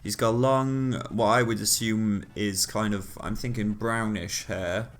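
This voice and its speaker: Playful man, mid-pitched voice. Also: fairly even intonation, slight background noise. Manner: playful, quick to laugh and riff. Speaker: podcast co-host. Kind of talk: casual comedic chat.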